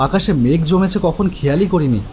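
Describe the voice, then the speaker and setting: A man's voice: high voice, animated, fast, a little mellow. Man playing exasperated husband, faint background noise. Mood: resigned. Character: exasperated middle-class husband running a trucking business, narrating his story. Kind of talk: Bengali animated horror story acting and narration.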